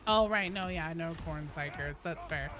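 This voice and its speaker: A woman's voice: low voice, moderate pace. A woman streaming games, chatting casually and musing aloud as she goes. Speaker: game streamer. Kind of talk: casual chat. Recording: loud music behind the voice. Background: loud music.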